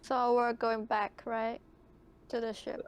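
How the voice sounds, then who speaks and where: A woman's voice: on the high side, flat delivery, slow, smooth. A woman asking questions casually and tentatively as a player in a tabletop roleplaying game.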